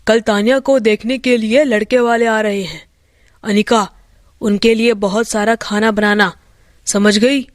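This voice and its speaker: A woman voicing a stingy, scornful middle-aged father in a storybook tale, with a gruff, dismissive manner. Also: on the high side, fairly even intonation, a little slow, dry recording, clean studio sound, voice pushed down, lightly acted. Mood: commanding.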